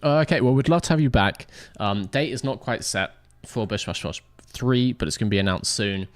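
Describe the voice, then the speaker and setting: A man's voice: mid-range voice, brisk, no echo. A man hosting a livestream and casually interviewing racers after an event. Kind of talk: casual post-race interview.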